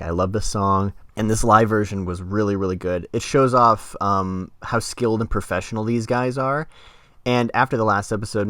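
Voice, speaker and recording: A man's voice: deep voice, a bit bright, no echo. A man hosting a casual review podcast, talking enthusiastically about what he likes. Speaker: podcast host.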